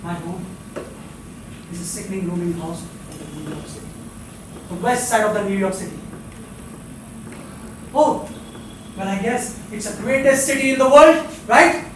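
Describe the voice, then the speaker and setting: Man acting an intense high-voiced drifter, echoey stage. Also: noisy background, lightly acted. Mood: bitter. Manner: theatrical, halting, repeats himself insistently. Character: intense, lonely, needy male drifter pouring out his life story. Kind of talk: live stage drama acting. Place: indoor theatre stage, recorded from a distance. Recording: echoey theatre, distant mic, music behind.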